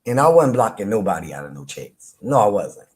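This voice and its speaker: A man with a deep voice, hosting a live chat room and teasing rivals in loose, playful banter with frequent laughter. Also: sing-song, fairly quick, a little mellow. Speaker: live audio-room host. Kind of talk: casual trash-talking chat to listeners.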